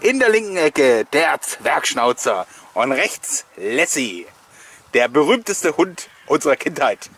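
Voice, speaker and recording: A man's voice: fairly high pitch, big pitch swings, takes it slowly, a bit bright, husky. A man hosting a nostalgic video with chatty, rambling voice-over commentary and personal anecdotes, often chuckling as he goes. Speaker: host of a collectors' nostalgia video channel.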